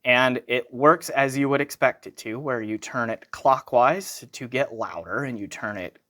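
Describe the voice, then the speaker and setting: American male reviewer, medium pitch, informal hands-on walkthrough with rambling asides and self-corrections. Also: a little slow, sharp tone. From United States. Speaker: product reviewer. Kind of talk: casual hands-on gear review.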